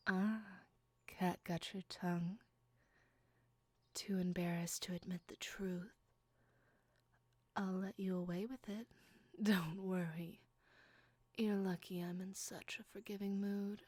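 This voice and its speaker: Soft-spoken teasing woman, slow and close. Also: on the low side, steady tone, no echo. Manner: playful, teasing, often whispering close to the mic. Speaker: voice actress performing an audio roleplay. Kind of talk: flirty ASMR roleplay.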